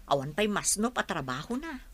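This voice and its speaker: A woman's voice: mid-range voice, very animated, fairly quick, a bit bright, no echo. A woman with an Ilocano Filipino accent playing a frank confidante who advises her troubled friend in a radio drama. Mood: reporting.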